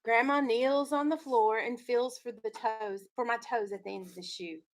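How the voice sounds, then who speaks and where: Higher-voiced woman teacher reading aloud. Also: steady tone, moderate pace, a little hiss or hum. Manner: instructive, prompts listeners with leading questions. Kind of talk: read-aloud storytelling with questions to pupils.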